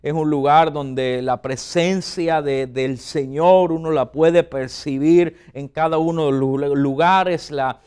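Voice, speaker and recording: A man preaches with fervor, his voice sitting high for a man. He speaks straight to his listeners as a pastor giving a sermon to a church following online. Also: clear, resonant tone. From Puerto Rico. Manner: fervent preaching, addresses listeners directly.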